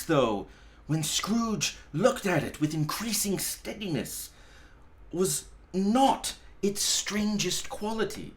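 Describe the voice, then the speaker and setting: Slow, animated man reading a story theatrically. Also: high-pitched. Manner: theatrical, emphatic, occasionally stumbling over words. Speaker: storyteller, pastor reading aloud. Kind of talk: dramatic audiobook-style reading of a classic story.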